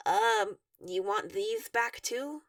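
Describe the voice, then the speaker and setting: A baffled, outspoken teenage boy voiced by a woman in a story reading, frank and questioning. The voice has creak and varied pitch. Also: sing-song, slow, no echo, studio quality, distant mic, full character voice. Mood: wary.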